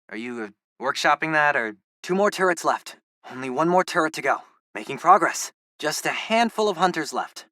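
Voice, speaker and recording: A man's voice: a little high, bright, forceful tone, no echo, clean studio sound. A young man playing a geeky, upbeat teenage boy in a video game, a loyal best friend with a casual, wry, chatty way of talking. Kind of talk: video game companion dialogue.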